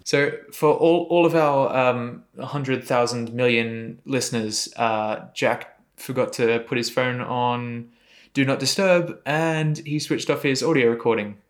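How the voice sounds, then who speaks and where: A man's voice: medium pitch, fairly even intonation, a little slow. An Australian man co-hosting a podcast, ribbing his friends with dry sarcasm. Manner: teasing, sarcastic. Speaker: podcast co-host. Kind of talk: casual banter.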